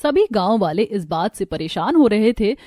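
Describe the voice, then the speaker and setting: A woman storyteller reading scripted narration for an animated tale. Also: medium pitch, moderate pace.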